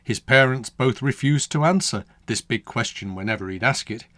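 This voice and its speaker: A man, the author, reading his own rhyming storybook poem aloud with an expressive, sing-song delivery. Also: medium pitch, moderate pace, dry recording.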